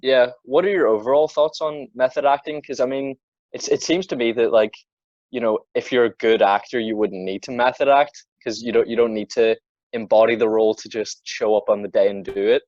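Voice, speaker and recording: A teenage guy co-hosting a casual film podcast, with an average-pitched voice on a dull, dry recording. He talks bluntly, swears freely and stumbles over repeated words as he works out his opinion. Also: steady tone, a little fast, a little mellow, no room sound. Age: teens.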